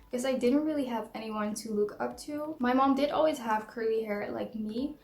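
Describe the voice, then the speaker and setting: A woman's voice: a little high, darkish tone. A young woman from the Netherlands, vlogging in an earnest, reflective way as she reads out questions and answers them. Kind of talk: casual tag video, answering questions to camera. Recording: echoey room.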